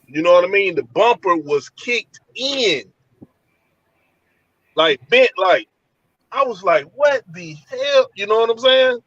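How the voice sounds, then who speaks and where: Guy from georgia telling a scary story from his van, talks kinda high, dull call sound. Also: animated, takes it slowly, long pauses, fairly bright tone, no echo. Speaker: guest telling an encounter story. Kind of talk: casual storytelling over a call.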